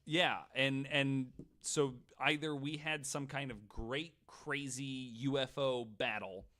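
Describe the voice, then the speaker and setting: A man's voice: medium pitch, fairly even intonation, slow, bright, forceful tone. A man hosting a podcast in casual, joking chat, with a wry, geeky and freely swearing manner. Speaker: podcast host.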